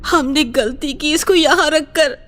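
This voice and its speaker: A woman's voice: sits high, a little slow, long reverb tail, background noise, voice pushed up. A woman voicing a terrified young female hospital worker in a panicked, tearful horror story scene.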